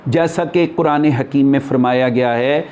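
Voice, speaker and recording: A man's voice: medium pitch, unhurried, darkish tone. A man speaking as a spiritual teacher in an informal religious discourse, explaining emphatically and posing rhetorical questions.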